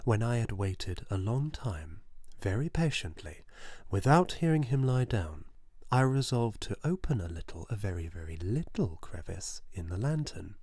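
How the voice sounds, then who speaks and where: A man's voice: lowish voice, sing-song, normal speed, breathy edge, no room sound, studio quality, exaggerated voice. A man playing a nervous, unhinged murderer confessing in a theatrical gothic horror story reading, dramatic and agitated yet insisting he is sane. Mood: ominous, sly. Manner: dramatic, swinging between hushed whispers and agitated outbursts.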